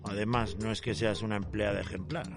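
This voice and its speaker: Actor as gravelly, condescending male hitman. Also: on the low side, fairly even intonation, a little fast, music in the background, natural voice. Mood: cold menace. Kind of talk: audio fiction drama.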